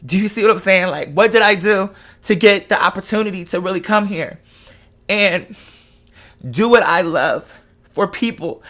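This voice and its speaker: Casting: online host, twenties, medium-pitched voice, candid emotional monologue, heavy slang and profanity, emphatic repetition. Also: normal speed, a bit bright. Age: 20s. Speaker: online content creator and live show host.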